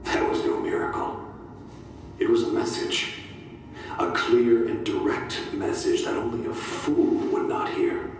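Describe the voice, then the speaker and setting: A man's voice: mid-range voice, fairly even intonation, unhurried, close to an everyday voice. Guy doing a zealot soldier for a game log, declaiming like scripture, super echoey and distant, bit of noise. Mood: fervent conviction. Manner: preaching, grave, declamatory. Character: fanatical religious soldier, captive, solemn and unrepentant. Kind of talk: video game audio log acting. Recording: echoing hall, distant mic, faint noise.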